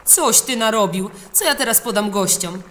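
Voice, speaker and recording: Woman as an angry shouting innkeeper. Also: mid-range voice, animated, moderate pace, a little hiss or hum, pitched above the performer's own voice, close to an everyday voice. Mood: angry, frantic. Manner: shouting, scolding. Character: angry, frantic male innkeeper bossing his kitchen boy.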